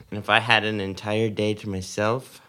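A man's voice: lowish voice, little pitch movement, unhurried, bright, forceful tone, clear, resonant tone. An eighteen-year-old American man from Tennessee in casual, joking podcast chat. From Tennessee, United States. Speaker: podcast co-host.

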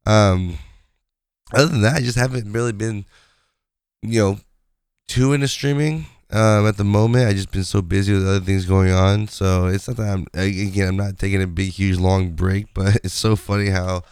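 A man hosting his podcast in a casual, rambling way, his voice raspy from a sinus infection. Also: lowish voice, steady tone, normal speed, a little mellow, dry recording, studio quality. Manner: rambling, conversational, many fillers. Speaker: podcast host. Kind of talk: casual solo podcast chat.

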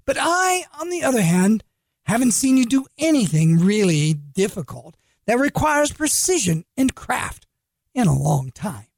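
Man reading a smooth-talking street trader, flattering, husky and animated. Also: sits high, unhurried, no room sound, studio quality, pitched above the performer's own voice, exaggerated voice. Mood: sly. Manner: wheedling, flattering.

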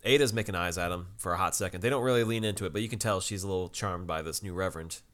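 Young male co-host, lowish voice, relaxed dry humor, quick laughs. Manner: laid-back, laughs often, dry remarks. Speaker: podcast co-host. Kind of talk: casual TV recap chat.

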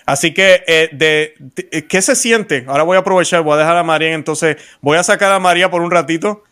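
A man's voice: fairly high pitch, a little fast, clear, resonant tone. Puerto Rican man hosting with many restarts. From Puerto Rico. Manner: warm-hearted, rambling with restarts. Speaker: host. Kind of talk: faith interview show.

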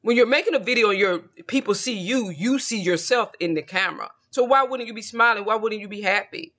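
A man's voice: sits high, brisk, sharp tone. A man in his late forties, a tarot video creator, talking in a self-assured, rambling solo monologue that keeps circling back to the same points. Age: 40s. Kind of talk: casual solo monologue to camera.

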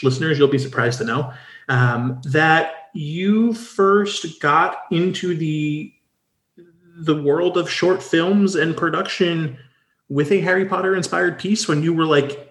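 A man's voice: fairly high pitch, measured pace, a bit bright. Male podcast cohost, lots of ums and restarts, roomy echoey sound. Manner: chatty, hesitant, lots of fillers and restarts. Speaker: podcast co-host. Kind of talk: casual podcast interview.

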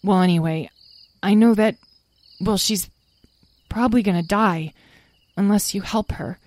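A woman's voice: medium pitch, a little slow, a little mellow, no room sound, close to an everyday voice. A woman voicing an earnest, kind-hearted young stable boy in a storybook, stammering and tearful. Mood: pleading. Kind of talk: storybook character voice.

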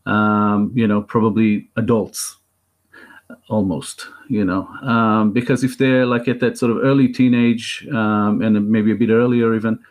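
A man in his thirties with an Israeli accent speaks as an interview guest. His voice sits a little low, and he talks in a thoughtful, halting way, often restarting his sentences. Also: level tone. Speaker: guest filmmaker. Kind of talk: interview conversation.

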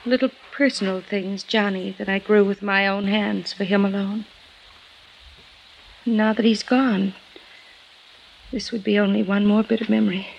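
A woman's voice: medium pitch, steady tone, a little slow, gravelly, pauses often, a little hiss or hum. A woman playing a desperate, scheming murderess in theatrical 1950s radio drama style, pleading. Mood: grieving, guarded. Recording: muffled old radio recording with music.

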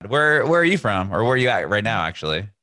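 A man hosts a podcast, his voice sitting on the low side and sounding dry and close. He reacts quickly and keeps an upbeat, laid-back tone. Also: big pitch swings, sharp tone, no room sound. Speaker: podcast host. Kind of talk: podcast intro and interview. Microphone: video call.

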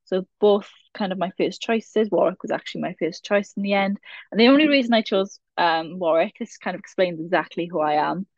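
A woman's voice: lowish voice, brisk, no echo. Welsh valleys girl, 20s, rambly chatty guest, kinda muffled dry sound. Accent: South Wales Valleys. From Wales. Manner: chatty, rambling, laughs at herself. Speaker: podcast guest. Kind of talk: casual chat.

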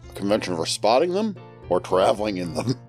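A man's voice: fairly low pitch, big pitch swings, fairly quick, a little mellow, faint music behind. A man playing a precise, long-suffering academic type in an audio drama, with a dry delivery. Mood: dry, exasperated.

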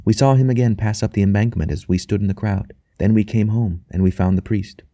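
A man voicing a devout, tender young wife in an audiobook, speaking softly and reverently. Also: lowish voice, steady tone, brisk, dry recording, studio quality. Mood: earnest wonder. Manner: soft, quiet, reverent. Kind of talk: audiobook character dialogue.

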